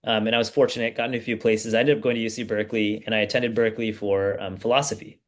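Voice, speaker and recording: A man in his thirties from the United States, a startup founder guest telling his story in a relaxed, casual way with plenty of fillers. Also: fairly low pitch, very fast. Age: 30s. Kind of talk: casual podcast interview.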